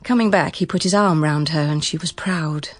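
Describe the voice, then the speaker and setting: A woman's voice: low voice, moderate pace, no echo. A woman with a British accent reading literary, scripted narration for a radio drama.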